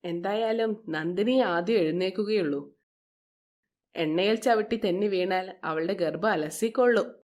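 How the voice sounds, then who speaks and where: A woman's voice: medium pitch, measured pace, a little mellow, natural voice. Woman acting a spiteful, scolding stepmother. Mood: scheming. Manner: scolding, contemptuous. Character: spiteful, scheming middle-aged stepmother, sharp and contemptuous.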